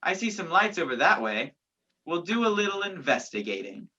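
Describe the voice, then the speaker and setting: A woman's voice: lowish voice, big pitch swings, moderate pace, very noisy. A woman acting in a radio play as a sardonic, commanding man on the run, with dry sarcasm and clipped orders. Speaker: student stage actor. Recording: dull, lo-fi virtual recording.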